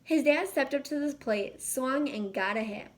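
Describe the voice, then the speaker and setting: Female storyteller for a children's read-aloud, slightly high voice, expressive delivery. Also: normal speed. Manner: animated, acts out dialogue. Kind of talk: expressive picture-book narration.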